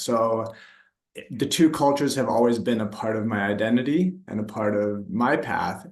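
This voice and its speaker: A man from the United States, a somatic therapist, answering interview questions in a calm, thoughtful way and searching for his words. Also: fairly low pitch. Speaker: somatic therapist, workshop facilitator. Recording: roomy echo.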